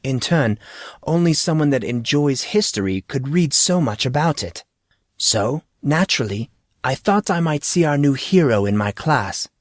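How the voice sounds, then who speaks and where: A formal and pedantic male history teacher acted out by a man in an audiobook. He speaks in careful, wordy sentences with genial scholarly authority, pitched a little above a narrator's register. The recording is dry and close. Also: expressive, normal speed, no room sound, lightly acted. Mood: pleased, formal.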